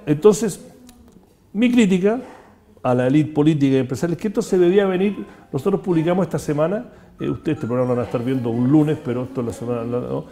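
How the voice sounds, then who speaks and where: Fast-talking Chilean man hosting a chat. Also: on the high side, dark tone. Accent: Chilean Spanish. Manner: fast, opinionated, many restarts. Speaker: host. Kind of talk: casual talk-show conversation.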